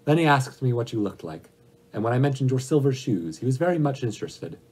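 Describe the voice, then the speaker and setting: A man's voice: mid-range voice, big pitch swings, fairly quick, darkish tone, slightly rough, pitched above the performer's own voice. A man playing a talkative palace soldier, reporting in an emphatic, explanatory manner. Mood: eager reporting.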